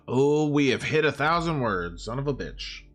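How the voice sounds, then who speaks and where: A man talks in an unhurried, informal way, as if on a livestream. His speech is laid-back in wording, full of swearing and bro slang, and his pitch is average for a man. Faint music plays behind him. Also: animated. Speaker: live-streaming writer and gamer. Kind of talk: casual livestream chat while composing a story aloud. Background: background music.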